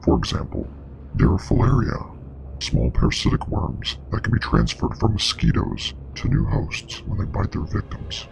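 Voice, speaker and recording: A man playing a grave, knowing investigator and parasite expert in a scripted web horror drama, explaining in a measured, clinical way with a breathy, gravelly voice. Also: fairly low pitch, sing-song, darkish tone, a little hiss or hum, full character voice, poor audio. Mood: grave, explanatory. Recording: music playing behind.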